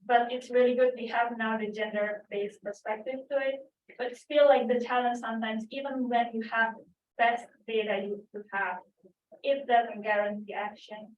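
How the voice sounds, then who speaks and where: A woman's voice: on the high side, little pitch movement, normal speed. Distant Indonesian-accented woman in echoey room. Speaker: audience member asking a question. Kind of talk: spontaneous conference question. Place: conference room, away from the mic. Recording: roomy, distant, dull, faint noise.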